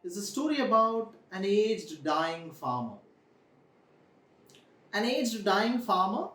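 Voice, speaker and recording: A young man, telling a story to children in a deliberate way, repeating phrases for emphasis. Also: high-pitched. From India. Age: young adult. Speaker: storyteller host. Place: small room indoors. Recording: echoey room, distant microphone.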